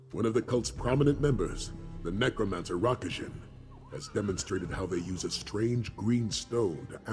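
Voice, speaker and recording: Deep-voiced, grave warrior mentor. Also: male voice. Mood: grave, explanatory. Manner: measured, solemn, formal. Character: grave, wise male dragon-hunter commander and mentor. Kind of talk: video game dialogue acting. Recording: music behind the voice.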